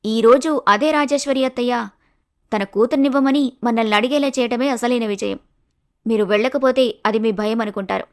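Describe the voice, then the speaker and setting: A woman voicing a wise, composed young wife in a story, persuasive and measured. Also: a little high, natural voice.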